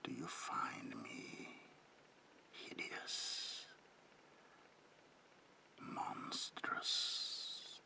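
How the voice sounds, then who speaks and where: A man playing a predatory, courtly vampire baron in a whispered ASMR roleplay, slow and theatrical, with a breathy gravelly whisper and softly menacing politeness. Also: exaggerated voice.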